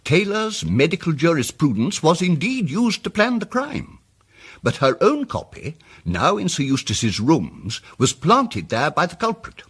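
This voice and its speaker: A fussy muddled polite detective in a radio play, husky voice, really swoopy intonation. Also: male voice, a little high, huge pitch swings, measured pace, fairly bright tone, exaggerated voice. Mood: earnest, explanatory. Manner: hesitant, fussy, precise, old-fashioned politeness. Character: mild, muddled, apologetic male amateur detective explaining his deductions. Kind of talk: radio drama dialogue.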